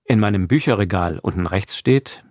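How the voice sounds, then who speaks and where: A man's voice: lowish voice, normal speed, a little mellow, no room sound, studio quality. A man hosting a radio talk show, moderating an interview and reading out short prompts. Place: dry radio studio.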